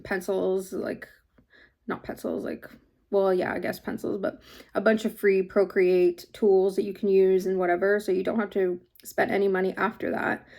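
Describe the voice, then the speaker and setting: A woman's voice: medium pitch, a little mellow. A sniffly woman with a mild cold talks loosely to camera about her small craft shop. She rambles, filling gaps with likes and ums, in an easygoing vlog chat from her home studio. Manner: rambling, filler-heavy, many likes and ums. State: slight head cold, sniffling. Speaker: small craft business owner.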